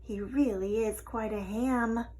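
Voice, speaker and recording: A woman's voice: fairly high pitch, steady tone, slow, warm tone. A woman voicing a young lady cockroach in a storybook, cool, witty and dryly polite. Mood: wry. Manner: dry, coolly polite, mock-sweet. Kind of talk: storybook character voice.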